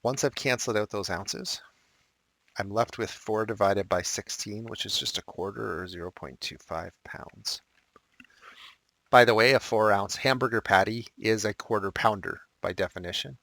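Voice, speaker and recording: A male math teacher walking through unit conversions step by step, with casual asides and a slightly raspy, dry-throated voice. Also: lowish voice, normal speed, pushed and bright, no room sound. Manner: explains step by step with asides and fillers. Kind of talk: recorded math lesson walkthrough.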